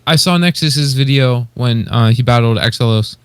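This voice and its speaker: A man's voice: mid-range voice, moderate pace, clean tone, no echo. A man co-hosting a podcast, chatting casually and giving informal, appreciative opinions. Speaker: podcast co-host.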